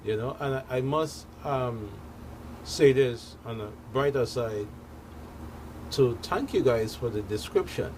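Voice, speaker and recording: A man talking casually as a guest in a discussion, halting and often tagging phrases with filler. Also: medium pitch, a little mellow, rough recording. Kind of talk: casual conversational talk. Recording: noticeable background noise, room ambience.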